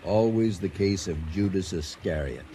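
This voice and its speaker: Wry older american farmer husband, dry n doubtful, old radio drama, lots of noise on the recording. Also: male voice, low voice, expressive. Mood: quietly suspicious. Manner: dry, understated, unhurried.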